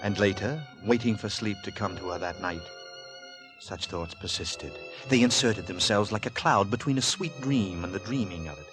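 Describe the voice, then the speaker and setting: Low, dramatic male radio narrator over music. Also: poor audio. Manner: literary, deliberate, suspenseful. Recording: vintage 1940s radio with music.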